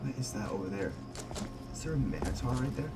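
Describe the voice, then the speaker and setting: A man giving casual commentary on a roleplay game, talking in a measured, halting way. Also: medium pitch, expressive. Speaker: gaming video host. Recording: distant mic under loud music. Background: loud game music.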